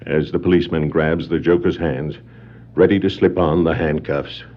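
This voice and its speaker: Very deep, portentous adventure narrator. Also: male voice, fairly even intonation, normal speed. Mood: dramatic. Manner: dramatic, portentous. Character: grave, dramatic male storyteller of superhero adventure. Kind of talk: scripted 1960s adventure record narration. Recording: muffled 1960s vinyl record.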